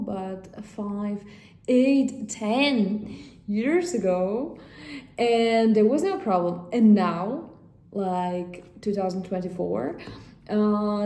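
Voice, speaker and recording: Czech woman doing a casual chatty vlog, mellow voice, kinda halting, roomy echo. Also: mid-range voice. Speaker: vlog host. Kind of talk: casual chat to viewers. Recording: echoey room.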